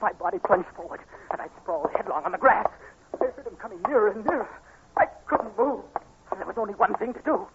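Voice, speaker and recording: A man's voice: high-pitched, animated, fairly quick, gravelly. An actor playing an earnest, anxious blind young man in a 1940s radio drama, with dramatic, intense delivery. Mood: tense narration. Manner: dramatic, intense, emotionally urgent. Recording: tinny, noisy 1940s radio recording.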